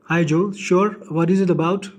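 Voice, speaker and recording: A man answers a call casually in a high-pitched voice. He puts short questions with some hesitation, as the person being called. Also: steady tone, moderate pace. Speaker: call recipient. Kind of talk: casual phone conversation.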